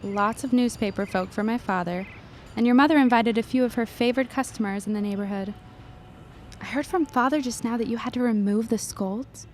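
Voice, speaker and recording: A woman's voice: fairly high pitch, a little fast, darkish tone, slight background noise, close to an everyday voice. A woman playing a playful, witty, observant young woman in an audio drama.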